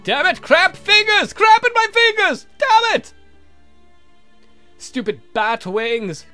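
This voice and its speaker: A man's voice: extremely high pitch, sing-song, slow pace, pushed and bright, soft background music, voice pushed up, heavily acted. A man voicing a clumsy, flirtatious vampire count from a game, grumbling and swearing theatrically. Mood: exasperated.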